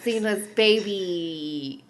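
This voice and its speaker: A woman's voice: medium pitch, very slow. A woman co-hosting a podcast in casual, conversational chat with her fellow hosts. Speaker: podcast co-host.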